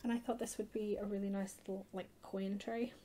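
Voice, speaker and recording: A woman chatting softly in an ASMR haul video, hushed and often slipping into a whisper. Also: mid-range voice, little pitch movement, brisk. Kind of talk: soft-spoken ASMR haul chat. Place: indoors at a table. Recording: noisy close home recording.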